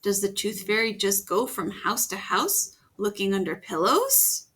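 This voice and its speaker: A woman reading a children's picture book aloud, gently pausing to ask her young listeners questions. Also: mid-range voice, sing-song, husky. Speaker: storytime reader.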